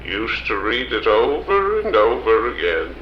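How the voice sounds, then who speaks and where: A man's voice: on the low side, big pitch swings, a little slow, reverberant, lo-fi sound, slight background noise. A man playing a nostalgic old small-town man who rambles and stammers fondly in an audio drama. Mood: wistful. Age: older.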